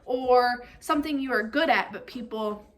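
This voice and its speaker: A woman reading a picture book aloud like a teacher, expressive and speaking directly to young listeners. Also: on the high side, moderate pace, soft background music. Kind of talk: read-aloud storytelling with comprehension questions.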